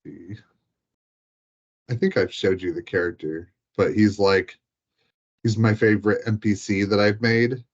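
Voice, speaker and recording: A man's voice: fairly low pitch, steady tone, unhurried, long pauses, muffled recording. A man chatting casually as a guest, in an informal, loose conversational way. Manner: informal, frequent filler 'like', giggly. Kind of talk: casual chat about tabletop gaming.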